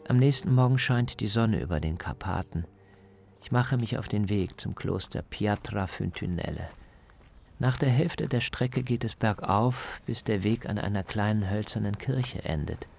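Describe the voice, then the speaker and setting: Guy with a gravelly voice reading a radio documentary script, faint ambience behind him. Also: lowish voice, normal speed, darkish tone, slight background noise. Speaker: reporter and narrator.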